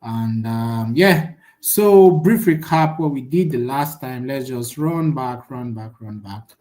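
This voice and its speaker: A man's voice: mid-range voice, slow pace. Chatty young man teaching online. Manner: chatty, frequent "right?" tags, lively jumps in loudness. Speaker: online course instructor. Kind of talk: casual live-stream teaching.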